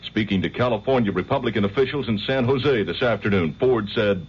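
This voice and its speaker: A man's voice: on the low side, fairly quick, a little hiss or hum. A man with an American accent reading a network news bulletin in 1970s radio style. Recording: dull old radio broadcast, music behind.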